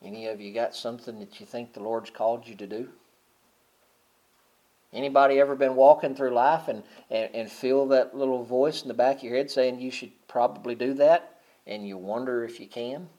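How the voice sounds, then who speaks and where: A middle-aged man preaching a sermon, talking casually and then swelling loud and high on key points. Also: moderate pace, poor audio. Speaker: pastor.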